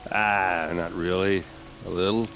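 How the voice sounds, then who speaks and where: A man playing a boisterous, tipsy warrior in a video game, loud and exuberant, with noisy street ambience around him. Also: sits low, steady tone, measured pace, faint music behind. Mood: tipsy, jovial. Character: boisterous, tipsy male warrior greeting an old acquaintance. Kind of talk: video game dialogue acting.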